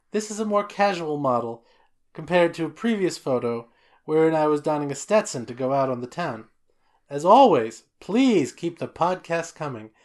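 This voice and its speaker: Loud, jolly man co-hosting a podcast. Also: fairly high pitch. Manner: loud, jolly, stammers and restarts often. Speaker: podcast co-host. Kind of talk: casual chat, reading a listener letter.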